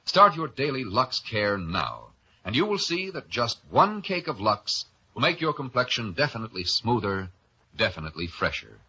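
A man reading a scripted 1950s radio soap advertisement as the announcer, with emphatic, deliberate persuasion. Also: medium pitch, sing-song, bright, forceful tone, a little hiss or hum. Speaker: commercial announcer. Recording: dull vintage radio broadcast.

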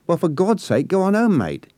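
A man voicing a brash young Cockney working girl, talking bluntly and loudly in a Cockney accent. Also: high voice, wildly swooping pitch, normal speed, no echo, voice pushed up, exaggerated voice. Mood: brash, scolding. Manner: blunt, loud and scolding.

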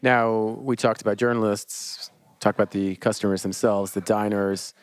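A man interviewing a chef, asking measured questions and giving short affirmations. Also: lowish voice, fairly even intonation, no room sound.

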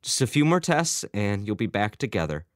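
A man's voice: fairly low pitch, sing-song, moderate pace, dry recording, studio quality. A man voicing an unhappy, anxious grown son in a story, emotional and pleading. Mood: tearful, tender.

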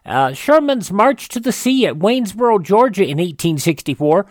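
An American man hosts a radio program in a slightly high voice. He reads items in a measured, newsreader-like way, partly scripted. The sound is dry and close. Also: sing-song, no room sound. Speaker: radio show host. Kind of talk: semi-scripted presenting of old radio and history facts.

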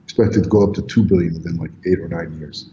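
A man born in Egypt and raised in New York, giving energetic, rambling answers in an interview. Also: deep voice, fast. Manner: energetic, rambling, repeats words. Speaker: crypto company founder. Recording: roomy, echoey, dull audio with faint noise.

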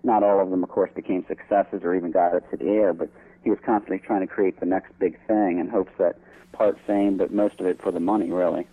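A man's voice: low-pitched, steady tone, a little fast, dark tone, breathy edge. A man speaking as an interview guest over the telephone, explaining things informally. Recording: narrow, tinny phone line.

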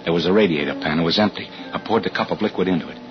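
An American man playing an anxious, suspicious husband narrating a 1940s radio suspense drama, with deliberate, clipped delivery. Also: deep voice, lightly acted. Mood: grim, tense. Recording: muffled 1940s radio recording with music.

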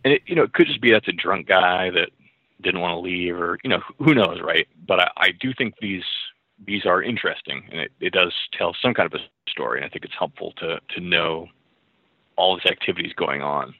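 A man's voice: low voice, brisk, no echo. A man co-hosting over a narrow call line, casually explaining and analysing in a tentative manner. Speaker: podcast co-host and researcher. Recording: muffled narrow phone-like line.

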